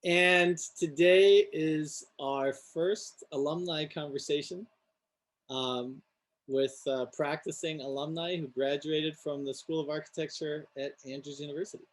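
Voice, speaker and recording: Middle-aged man, fairly high voice, interview host, friendly, halting fillers, video call. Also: steady tone. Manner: friendly, hesitant with many fillers. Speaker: host, architecture professor.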